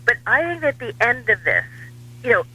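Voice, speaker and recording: High, piercingly bright American male commentator. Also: very animated, husky, poor audio. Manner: wry, dry humor, measured hesitations. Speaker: radio show guest, political commentator. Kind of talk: talk radio interview.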